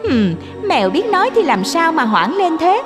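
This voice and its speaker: A woman's voice: very high voice, sing-song, moderate pace, music in the background. A woman voicing a sly, bossy talking cat in a cartoon fairy tale, with a commanding, teasing manner. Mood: coaxing.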